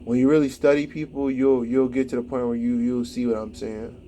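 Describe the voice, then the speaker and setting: Man, late 20s, rambling spiritual talk, flat monotone delivery, mellow dark tone. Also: mid-range voice, brisk, a little hiss or hum. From United States. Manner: rambling, heavy on "like" fillers. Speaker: vlogger giving spiritual advice. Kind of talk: casual spiritual monologue.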